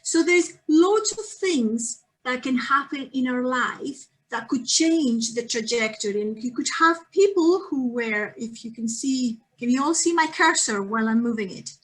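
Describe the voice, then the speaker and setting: A woman's voice: high-pitched, lively intonation, measured pace, hardly any pauses, low-quality recording. A woman with a Greek accent giving an online lecture over a video call, friendly and using many ums and restarts.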